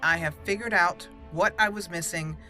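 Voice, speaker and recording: A woman's voice: fairly low pitch, moderate pace, sharp tone, soft background music. A young woman casually commenting on her game as she plays, chatty and giggly, rambling with fillers. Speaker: let's play host.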